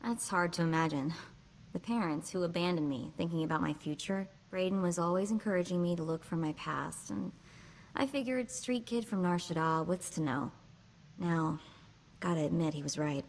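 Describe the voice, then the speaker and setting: A woman's voice: on the low side, moderate pace, slight background noise, close to an everyday voice. A woman voicing a plucky, street-smart young slicer in a video game, wry and breezy, with clipped slangy talk. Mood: reflective.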